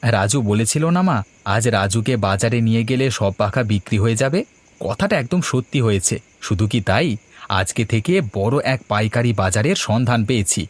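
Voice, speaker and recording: A man's voice: mid-range voice, lively intonation, brisk, natural voice. A man voicing a hardworking village fan seller and family man in a cartoon, earnest and polite. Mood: hopeful. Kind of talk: cartoon voice acting. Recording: music playing behind the voice.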